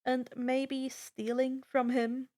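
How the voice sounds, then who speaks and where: A woman's voice: sits high, little pitch movement, slow, dark tone. A woman voicing an earnest teenage demigod boy in a casual, hesitant manner. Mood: awkward, nervous.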